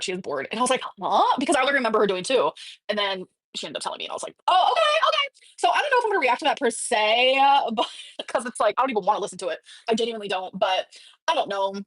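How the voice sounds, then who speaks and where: A woman livestreamer with a fairly high voice chats at a quick clip. She is sassy and gossipy, often dropping a thought halfway, and the sound is dry. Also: big pitch swings, fairly bright tone, no echo. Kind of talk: casual livestream chat, answering viewer messages.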